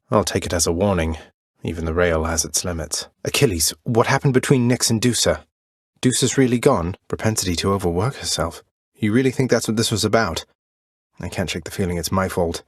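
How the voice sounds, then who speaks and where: An actor playing a wry, courteous young prince of the underworld in a video game, with dry, understated delivery. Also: male voice, deep voice, dry recording. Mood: conversational, then concerned. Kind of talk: scripted game character dialogue.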